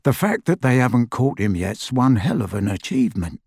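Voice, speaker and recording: A performer voicing a mild, easygoing man on the run with a soft, wry way of talking, in an audiobook. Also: mid-range voice, sing-song, moderate pace, slightly rough, no echo, studio quality. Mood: admiring.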